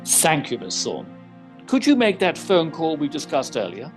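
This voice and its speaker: A man playing a shrewd, unruffled gentleman detective in an audio theater mystery, speaking precisely with formal, wry phrasing. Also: fairly high pitch, wildly swooping pitch, normal speed, soft background music. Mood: formal, assured.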